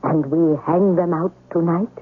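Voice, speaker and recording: Respectful, concerned housemaid, husky, radio play, phone-like narrow band. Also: female voice, on the low side, fairly even intonation, measured pace. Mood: respectful, cautious. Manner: deferential, earnest. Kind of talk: 1970s radio drama acting.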